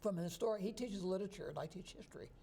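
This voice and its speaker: Gravelly, husky older professor. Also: male voice, high voice, rapid-fire. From New Jersey. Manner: relaxed, thoughtful, chuckles easily. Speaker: guest, history professor. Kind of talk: interview answers.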